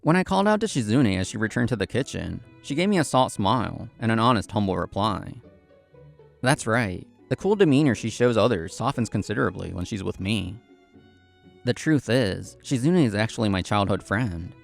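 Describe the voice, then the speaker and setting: Earnest young male café manager narrating a manga story, played by a man; lively intonation, gravelly edge. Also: fairly low pitch, moderate pace, faint music behind. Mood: earnest, reflective. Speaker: narrator and lead actor of a manga story video. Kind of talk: scripted first-person narration.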